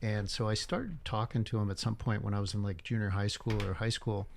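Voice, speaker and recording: Older male surgeon, sixties, from Cuba, easygoing storyteller with a slightly low voice. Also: fairly quick, gravelly, slight background noise. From Cuba, raised in Miami. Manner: relaxed storytelling with many fillers. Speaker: orthopedic surgeon guest. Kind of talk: casual interview chat. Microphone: headphones with studio mic.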